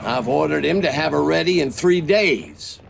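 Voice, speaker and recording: A seasoned navy admiral for a war game's cutscene, gravelly and wry. He gives orders briskly, his slightly high voice swinging widely in pitch. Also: expressive, noisy background. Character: seasoned older male navy admiral, dry and commanding. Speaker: admiral briefing a captain. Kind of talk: video game cutscene acting.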